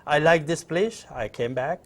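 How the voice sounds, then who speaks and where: A middle-aged man from Nepal with a Nepali accent, answering interview questions conversationally in a halting way and repeating phrases. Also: on the high side, slight background noise. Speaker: interview guest, university professor. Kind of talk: conversational interview, recounting own background.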